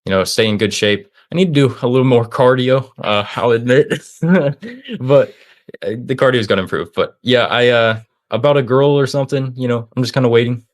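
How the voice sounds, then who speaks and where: A man's voice: medium pitch, animated, a little fast. Upbeat, rambling young man. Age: young adult, about 20. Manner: rambling, upbeat, full of fillers and restarts. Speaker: interview guest, student and online seller. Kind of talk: casual interview conversation.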